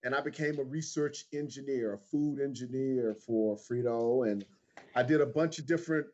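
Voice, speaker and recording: A man's voice: medium pitch, normal speed, warm tone. A middle-aged man from Houston with an American accent, answering interview questions in an informal, candid way. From Houston, Texas, United States. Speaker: interview guest, insurance agent. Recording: dull lo-fi livestream audio.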